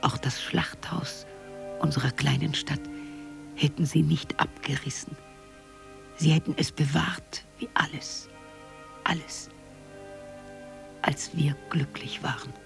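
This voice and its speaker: An old woman looking back on small-town life in a radio drama. Her voice is heavily creaky and breathy. She speaks very slowly and haltingly, reflective and wistful, with faint music behind her. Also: sits low, steady tone, whispered, exaggerated voice. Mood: wistful, brooding. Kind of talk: poetic radio drama monologue.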